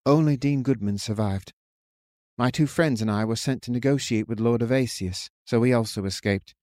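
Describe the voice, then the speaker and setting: A man reading an audiobook, voicing an earnest young warrior son speaking gently to his father. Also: medium pitch, moderate pace, no echo, clean studio sound, lightly acted. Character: young male warrior returning home, earnest. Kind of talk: audiobook character voice.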